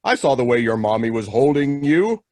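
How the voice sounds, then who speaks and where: A man's voice: medium pitch, expressive, brisk, a little mellow, no echo, clean studio sound, lightly acted. A man playing a jolly, kindly old Santa Claus talking to a child in an old-time radio drama.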